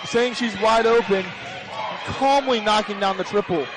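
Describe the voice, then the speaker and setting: A man gives live basketball analysis as a color commentator. His voice is high and emphatic, with a gym crowd clearly audible behind him. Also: unhurried, background noise. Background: crowd and bouncing basketballs.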